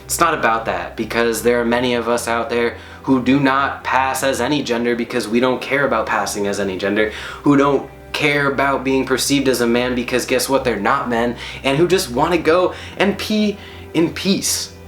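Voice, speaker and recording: Guy telling his own story, lively and emphatic, echoey room, faint music underneath. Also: mid-range voice, moderate pace. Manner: animated, emphatic, laughs while telling. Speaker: storyteller on stage. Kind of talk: personal storytelling talk. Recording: roomy hall echo.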